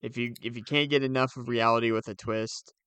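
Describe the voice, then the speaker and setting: A man's voice: medium pitch, steady tone, fairly quick, a bit bright, clear, resonant tone, no echo. A young man co-hosting a podcast in casual, conversational chat. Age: young adult. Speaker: podcast co-host.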